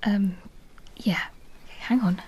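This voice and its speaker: A woman's voice: mid-range voice, very slow, a little mellow, slight background noise, rough recording. An actress playing a self-conscious, apologetic English teacher who later plays a patient mother in a radio drama.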